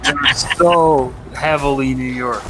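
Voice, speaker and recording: A man's voice: mid-range voice, steady tone, measured pace, noisy background. A man chatting casually on a game stream, telling rambling stories with lots of fillers. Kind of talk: casual chat. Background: game music.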